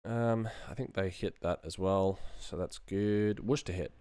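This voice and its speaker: A man in his thirties chatting casually and low-key on a livestream while he works, half talking to himself, close to the mic. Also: a little slow, dark tone, smooth. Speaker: sound designer streaming his work. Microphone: microphone close in front of him. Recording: background noise with mouse clicks.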